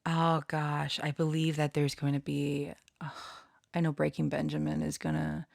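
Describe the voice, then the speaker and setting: A woman's voice: deep voice, flat delivery, normal speed, gravelly, darkish tone, no echo, clean studio sound. A woman rambling casually to her online followers, giggly and prone to swearing. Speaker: online storyteller and channeler.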